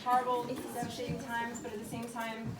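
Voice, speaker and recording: A woman's voice: on the high side, monotone, brisk. A woman hosting a live puppet show, speaking informally to an audience and narrating. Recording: echoey live room, distant mic, loud music and crowd.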